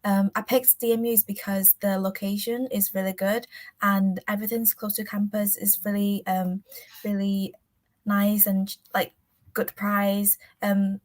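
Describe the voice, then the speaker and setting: A woman from China, a student, speaking shyly in short, halting phrases. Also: medium pitch, little pitch movement, darkish tone. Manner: shy, halting.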